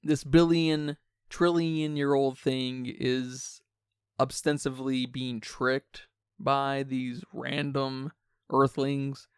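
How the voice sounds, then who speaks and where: A man podcast co-host with a crisp voice and a noticeable vocal fry. He speaks casually and gives opinions with dry humour. The sound is very dry and close. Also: medium pitch, level tone, drawn-out words, no echo. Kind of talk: casual film discussion.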